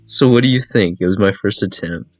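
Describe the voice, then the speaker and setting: A man's voice: fairly low pitch, fairly quick, no room sound, natural voice. A man voicing an eager, nervous visual novel protagonist who is anxious to please. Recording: music behind a dry voice.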